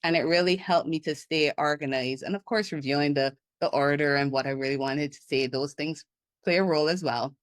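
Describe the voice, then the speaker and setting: A woman's voice: deep voice, steady tone, a little fast, no room sound. Woman from barbados chatting on a podcast, laughs a lot, acts out her own thoughts, dry close sound. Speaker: business consultant guest. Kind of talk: casual interview talk.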